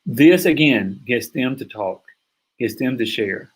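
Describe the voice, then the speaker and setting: Husky-voiced man coaching sales. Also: medium pitch, a little slow. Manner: energetic, emphatic, acts out sample calls. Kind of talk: online course lecture.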